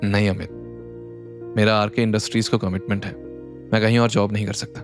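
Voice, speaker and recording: A man playing a calm, confident, quietly stubborn rustic young man in an audio drama, unhurried and wry. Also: lowish voice, faint music behind, lightly acted. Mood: composed. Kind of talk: Hindi audio drama acting.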